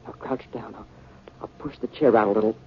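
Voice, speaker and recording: A male actor playing a frightened, polite young boy in a 1950s radio drama, earnest, anxious and halting. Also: on the low side, fairly even intonation, lightly acted. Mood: nervous resolve. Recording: muffled, noisy old 1950 radio recording.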